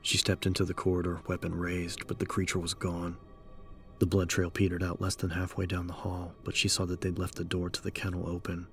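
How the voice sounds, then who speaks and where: A man's voice: sits low, monotone, fairly quick, fairly bright tone. A man narrating a horror audiobook in a scripted, dramatic style. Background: background music.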